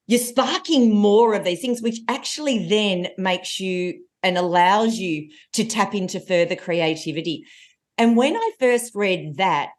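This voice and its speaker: A woman in her forties with a loud voice, a coach telling stories as a guest in a casual podcast conversation. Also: medium pitch. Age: 40s. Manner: energetic, animated storytelling with laughs.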